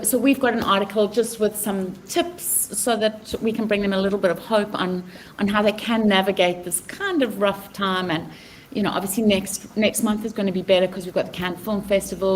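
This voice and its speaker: A woman's voice: mid-range voice, fairly even intonation, slight background noise, low-quality recording. A South African woman co-hosting a podcast in casual chat, laughing easily and restarting her sentences. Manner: chatty, quick laughs, many restarts. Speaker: podcast co-host. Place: lives abroad on the Riviera.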